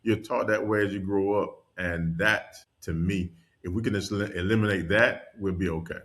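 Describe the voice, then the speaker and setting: A man from North Carolina, a podcast guest and world traveler, telling stories in casual conversation with lively energy and frequent laughter. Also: sits low, normal speed. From North Carolina, USA. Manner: animated storyteller, laughs often.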